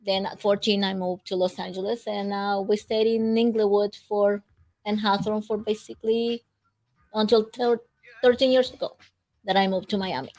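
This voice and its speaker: A middle-aged woman from El Salvador, quietly recalling her childhood in a casual interview. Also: mid-range voice, level tone, moderate pace, poor audio. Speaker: guest, mother of a guest.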